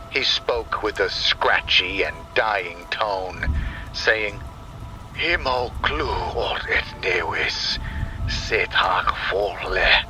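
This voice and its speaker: A man narrating a scripted first-person horror story in a deliberate, dramatic manner. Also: sits low, bright, forceful tone, poor audio. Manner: slow, deliberate, dramatic delivery. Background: rain and thunder sound effects.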